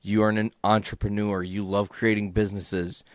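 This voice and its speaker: A man's voice: fairly low pitch, flat delivery, moderate pace, no echo, studio quality. A man hosting a podcast interview, upbeat and encouraging toward his guest. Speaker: podcast host. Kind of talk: casual interview chat.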